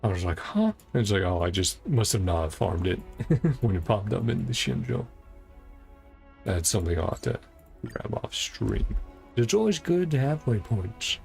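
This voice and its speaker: Animated male game streamer with gravelly voice. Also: lowish voice, moderate pace, faint music behind. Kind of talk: casual gameplay commentary, reading dialogue aloud.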